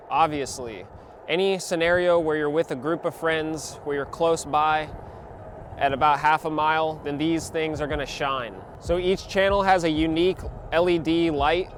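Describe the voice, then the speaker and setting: A young man with a slightly high voice talks through a product review in an informal, chatty way. Music plays behind him as he explains things casually to viewers. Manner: informal, conversational.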